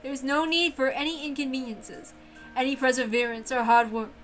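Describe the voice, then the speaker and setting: Woman acting a grand witch, high bright voice, imperious, theatrical, possessive, formal visual novel delivery. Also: fairly even intonation, fairly quick, faint music behind. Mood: grand, inviting. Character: grand, possessive golden witch, lonely and insistent.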